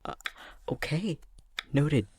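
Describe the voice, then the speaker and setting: A young man playing a clumsy, self-conscious fox-shifter boyfriend in an intimate ASMR roleplay, speaking hesitantly with a soft, breathy, gravelly voice. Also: fairly high pitch, animated, slow pace, full character voice. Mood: sheepish, teasing. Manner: hesitant, stammering, self-deprecating.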